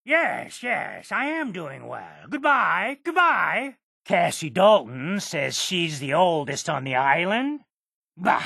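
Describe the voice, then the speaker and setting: A creaky, ancient islander for a video game, cranky and boastful. The delivery is slow and scoffing, with wild swoops in pitch. The sound is dry and close. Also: fairly bright tone, no echo, exaggerated voice. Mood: gleeful, defiant. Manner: scoffing, grumbling, slow and dramatic. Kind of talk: video game character acting.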